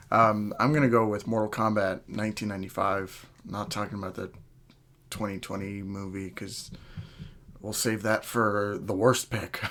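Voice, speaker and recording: A man hosting a casual podcast chat, talking in a halting, hedging way as he thinks aloud. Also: lowish voice, a bit bright, slight background noise. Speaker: podcast host.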